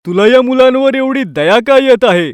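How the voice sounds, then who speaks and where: An actor voicing a sly, threatening wolf in an animated story, talking in a mocking, menacing way. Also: sits high, normal speed, smooth, no room sound. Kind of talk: animated story character voice acting.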